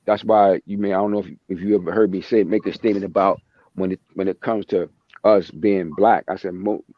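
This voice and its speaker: A man's voice: sits low, steady tone, a little fast, no echo. A man hosting a podcast, talking in a passionate, emphatic way and often repeating himself. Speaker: podcast host. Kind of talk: casual chat. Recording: dry, dull lo-fi sound.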